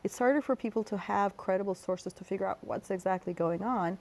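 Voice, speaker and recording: A woman's voice: mid-range voice, a little fast, darkish tone. A middle-aged woman from Turkey answering interview questions in a thoughtful, explanatory way, with fillers and restarts. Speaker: guest scholar.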